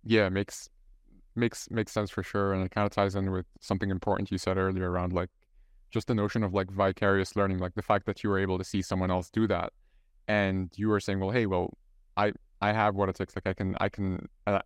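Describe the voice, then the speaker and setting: Young man, halting host. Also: sits low, smooth, dry recording, clean studio sound. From Egypt. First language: Arabic. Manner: halting, many restarts and "like" fillers. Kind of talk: casual interview chat.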